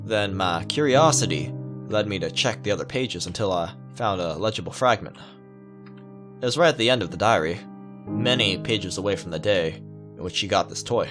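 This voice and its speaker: Male let's-player, low voice, casual halting read of visual novel text, guitar music behind. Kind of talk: casual read-aloud narration with asides.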